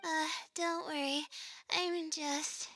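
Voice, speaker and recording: Shy anxious student girl in a game, super high bright voice, hesitant, close dry sound. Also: flat delivery, slow, no echo, exaggerated voice. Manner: hesitant, earnest. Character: timid, anxious young female student, earnest and self-doubting. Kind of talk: video game voice acting.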